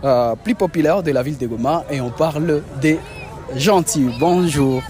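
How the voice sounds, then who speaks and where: A man hosts an interview in a fairly high voice, halting often on fillers as he builds his questions. Music plays clearly underneath his voice. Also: darkish tone. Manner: hesitant, many filler pauses. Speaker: interviewer and channel host. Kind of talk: on-location interview.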